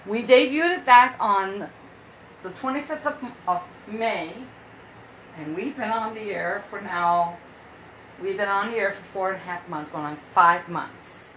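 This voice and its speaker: A woman's voice: medium pitch, unhurried, fairly bright tone, a little hiss or hum, poor audio. A woman in her early fifties hosting a casual solo podcast from her room at home, rambling and often repeating herself. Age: 50s. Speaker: podcast host.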